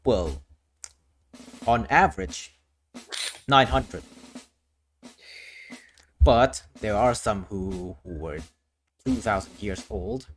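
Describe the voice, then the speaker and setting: A man's voice: fairly low pitch, animated, extremely slow, long pauses, slight background noise. A man from Thailand, a streamer explaining his worldbuilding lore in a casual, explanatory way, often restarting phrases.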